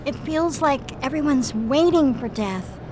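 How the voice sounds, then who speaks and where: A woman playing a calm, earnest female android partner in an audio drama, curious about humans, speaking in a measured way. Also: high-pitched, background noise. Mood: earnest, inquiring.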